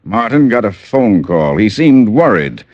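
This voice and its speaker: An actor playing a polite, earnest male lawyer with a formal, measured way of talking, in old radio drama style. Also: fairly low pitch, sing-song, no echo. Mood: earnest, concerned. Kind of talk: 1940s-50s radio drama acting. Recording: dull, dry old radio recording.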